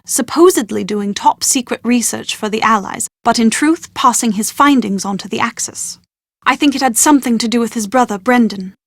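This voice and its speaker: An actress playing a chatty, anxious young British woman in a video game, with a posh, expressive and wordy way of talking. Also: a little high, dry recording, clean studio sound. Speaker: voice actor in an adventure game. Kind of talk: scripted video game character dialogue.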